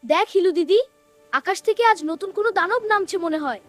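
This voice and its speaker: A woman voicing a small, timid, excitable ghost in a cartoon. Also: very high-pitched, fairly quick, faint music behind. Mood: worried.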